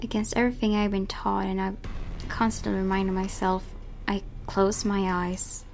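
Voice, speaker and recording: A woman reading a visual novel aloud as dramatic first-person narration, often hushed at phrase ends and halting over words. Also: medium pitch, level tone, vocal fry. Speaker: let's player reading a game aloud. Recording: noisy home recording with clicks.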